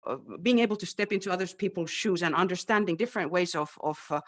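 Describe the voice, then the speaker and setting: A man's voice: high voice, quick pace, fairly bright tone. A man whose first language is Finnish, speaking as a city education official in a webinar discussion, hesitant, with many fillers and restarts. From Finland. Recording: video call.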